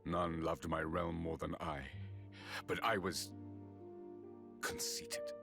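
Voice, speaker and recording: A man's voice: low-pitched, little pitch movement, slow, vocal fry, music in the background, exaggerated voice. A man voicing a grave, bitter fallen king in a video game cutscene, solemn and theatrical, sometimes near a whisper. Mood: mournful, confessional.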